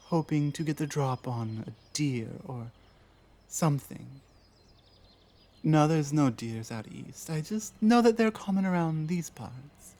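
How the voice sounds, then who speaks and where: A woman voicing an earnest, honor-bound female orc in an ASMR roleplay, formal yet halting in manner. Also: low voice, dark tone, full character voice.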